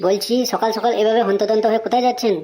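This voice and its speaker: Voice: medium pitch, little pitch movement, very fast, heavy background noise, natural voice. A performer voicing a gossipy young male sidekick in a comedy cartoon, agreeable and teasing. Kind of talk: amateur comedy cartoon dialogue.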